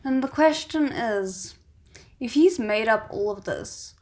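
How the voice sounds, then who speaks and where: A woman giving casual reaction commentary as a host, thinking aloud with expressive exclamations. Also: fairly high pitch, slow. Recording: noticeable background noise.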